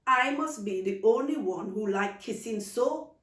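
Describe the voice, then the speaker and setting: An exuberant aunt acted out by a woman reading a storybook, teasing and commanding everyone with playful dialect turns of phrase. Her pitch jumps high and swings widely, in a roomy recording with some distance from the microphone. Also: animated, a little slow, fairly bright tone. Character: exuberant, teasing adult aunt who loves Christmas.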